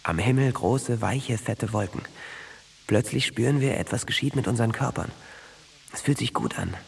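Ein Schauspieler in einem Hörspiel spielt einen verträumten jungen, traumatisierten Soldaten, der in kurzen, schlichten Sätzen erzählt. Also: male voice, mid-range voice, normal speed, gravelly, breathy edge. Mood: dreamy, intimate. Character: dreamy young traumatized soldier who believes he can fly. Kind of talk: naturalistic German radio drama acting.

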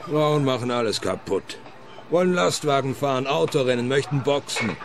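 A man's voice: mid-range voice, moderate pace, faint music behind, natural voice. An actor playing a bitter male trucker who grumbles and mutters curses in a radio play. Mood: bitter, grumbling. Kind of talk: German-language radio play acting. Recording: clear outdoor urban background noise. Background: urban ambience noise.

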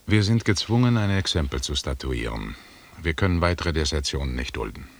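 An actor playing a stern, commanding expedition leader in a German radio drama, speaking with measured authority. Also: male voice, extremely low pitch, lively intonation, full character voice. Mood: resolute.

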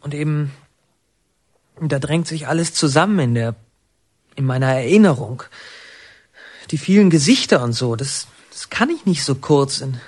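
An actor playing a brooding young inmate who narrates his memories in a radio play, halting, reflective and poetic. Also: on the high side, animated, no room sound. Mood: flustered, halting.

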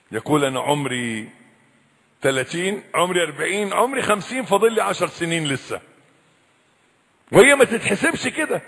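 A man preaching a sermon, swinging between loud cries and hushed, low warnings. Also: breathy edge. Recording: echoey hall with long reverb.

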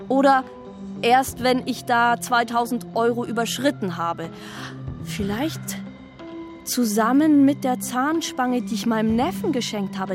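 A woman's voice: fairly high pitch, lightly acted. An actress playing a scatterbrained, self-justifying woman in a naturalistic radio drama monologue, rambling and questioning herself as she goes. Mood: rambling, exasperated.

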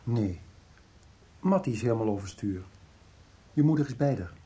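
An actor playing a subdued, quietly reproving middle-aged father in a radio soap. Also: mid-range voice, expressive, normal speed, close to an everyday voice. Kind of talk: 1990s Dutch radio soap acting.

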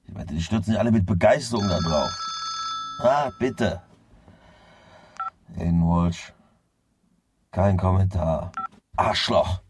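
A man's voice: low voice, slow, pauses often, background noise. An actor playing an irritable, bitter working-class man in a radio drama, blunt and sweary in an exasperated way. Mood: agitated, bitter. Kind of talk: naturalistic radio drama acting.

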